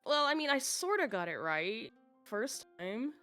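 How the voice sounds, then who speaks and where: A woman's voice: fairly high pitch, very animated, measured pace, bright, forceful tone, faint music behind. A woman giving playful color commentary on an esports match. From United States. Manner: playful, laughs easily.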